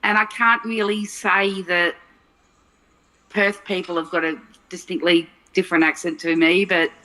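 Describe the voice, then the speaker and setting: A woman's voice: fairly low pitch, level tone, unhurried, bright, forceful tone, rough recording. A woman from Melbourne with an Australian accent, chatting casually and thinking aloud as she explains things. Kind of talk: casual chat.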